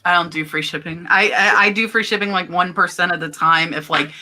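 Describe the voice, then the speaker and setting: Live stream host, woman, bright forceful voice, chatty, reads comments. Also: on the low side, fairly even intonation, brisk. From Florida. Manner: chatty, laughing, reading viewer comments.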